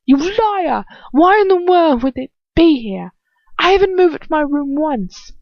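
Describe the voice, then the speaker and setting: A woman voicing a cruel, furious young male wizard who shouts and spits insults. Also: sits high, animated, unhurried, no echo, muffled recording, voice pushed up.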